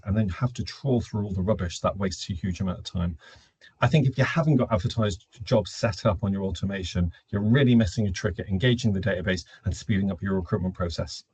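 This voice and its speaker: A man's voice: fairly low pitch, a little mellow, slightly rough, no echo. A man giving an informal business webinar presentation, explaining in a conversational, opinionated way and answering his own questions. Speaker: webinar presenter, recruitment automation consultant.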